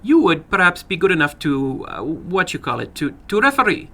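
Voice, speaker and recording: A man voicing an eager, animated little foreign gambler in halting, broken English for a dramatic horror story reading. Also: high-pitched, pitched above the performer's own voice, full character voice. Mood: eager, brisk.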